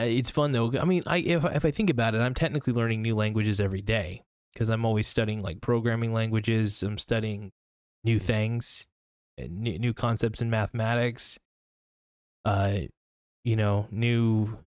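A man's voice: medium pitch, a little mellow, no room sound. Rambling man in a dry room. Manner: rambling, many restarts and fillers. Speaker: podcast host. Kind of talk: casual solo podcast talk.

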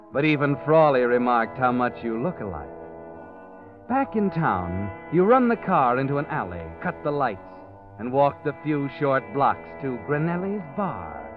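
A man narrating a radio drama in the second person, insinuating and leading. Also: mid-range voice. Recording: dull old radio recording. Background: dramatic orchestral music.

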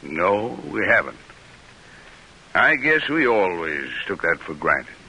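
An actor playing a genial, humble, folksy president-elect with a wry, measured manner in an old-time radio drama. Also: male voice, low voice, sing-song, pushed and bright, slightly rough, full character voice, low-quality recording. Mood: moved, sincere. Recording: hissy vintage radio broadcast recording.

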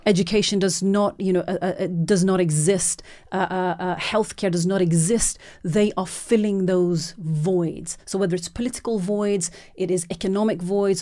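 A woman's voice: on the low side, warm tone. A woman from Norway, a documentary filmmaker, giving long, earnest interview answers full of repeats and restarts.

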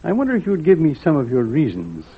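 Husky kindly judge, lively intonation. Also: male voice, a little high, full character voice. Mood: genial, formal. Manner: genial, measured, hemming. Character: kindly, avuncular, deliberate male court judge. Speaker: radio drama actor. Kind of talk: old-time radio drama acting. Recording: tinny, muffled vintage radio recording.

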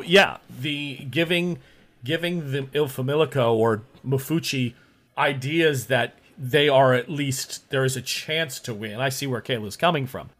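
A man's voice: mid-range voice, fairly bright tone. A man running a tabletop game as game master, explaining the situation and steering the players.

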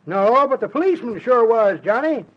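An actor playing a talkative, excitable old man who spins folksy tall tales in an old radio comedy. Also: extremely high pitch, normal speed, heavily acted. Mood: amused storytelling. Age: older. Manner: folksy drawling yarn-spinner, chuckling. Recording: dull old radio recording.

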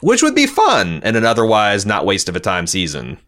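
A man with a low, bright voice co-hosts a podcast. He talks casually and reads out listener messages, with a forceful, clear-cut edge to his sound. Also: wildly swooping pitch, brisk. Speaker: podcast co-host reading listener feedback. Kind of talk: casual chat and reading listener messages aloud.

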